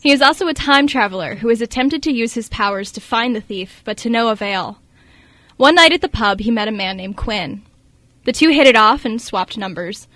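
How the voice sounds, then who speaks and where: A woman's voice: on the high side, a bit bright, dry recording. A young woman reading scripted story narration for a radio show.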